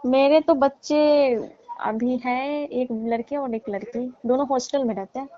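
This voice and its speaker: A woman acting as a middle-aged married aunty with a fairly high voice, coy and teasing. She draws out her complaints flirtatiously in a comedy call skit. The audio is dull and lo-fi, like a recorded phone call. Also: steady tone, unhurried. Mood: coy, confiding. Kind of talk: staged intimate phone chat in colloquial Hindi.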